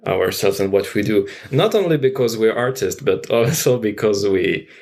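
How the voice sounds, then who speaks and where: Male podcast guest, French accent, low creaky voice, thoughtful, halting conversational English. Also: lively intonation, a little mellow. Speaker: guest, theater artist. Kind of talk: casual reflective conversation.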